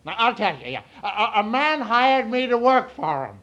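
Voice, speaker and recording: High-voiced, flustered, folksy drifter. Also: male voice, very animated, normal speed, bright, forceful tone, lo-fi sound, heavily acted. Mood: excited, flustered. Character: meek, bald, rambling drifter, excitable and simple-hearted. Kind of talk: 1940s radio drama acting. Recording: vintage 1940s radio broadcast.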